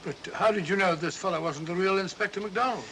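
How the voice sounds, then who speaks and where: A loyal gentleman companion, forever puzzled and exclaiming. The voice is high and sharp, on a slightly noisy old film soundtrack. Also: fast. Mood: puzzled, admiring. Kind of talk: 1940s film mystery acting.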